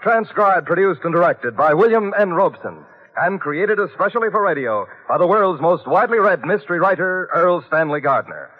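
A man's voice: sits high, big pitch swings, moderate pace. A man reading scripted credits as a formal, declamatory 1940s-50s radio announcer. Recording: muffled phone-like old radio with music.

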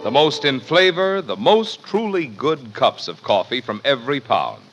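A man's voice: medium pitch, big pitch swings, normal speed, lo-fi sound, music in the background. An American man delivering a 1950s radio commercial as an announcer. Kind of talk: 1950s radio advertising pitch.

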